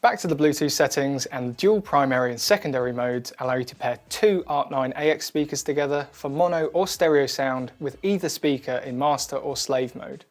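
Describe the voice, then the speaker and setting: A man presenting a scripted product demo in a clear, methodical, instructional manner. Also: medium pitch, fairly quick, few pauses. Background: background music.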